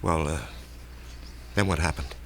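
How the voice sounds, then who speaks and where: Deep-voiced, hesitant American salesman. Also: steady tone, a little hiss or hum. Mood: uneasy, subdued. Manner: hesitant, halting. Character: quiet, self-doubting married salesman, mild everyman. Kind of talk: radio drama acting.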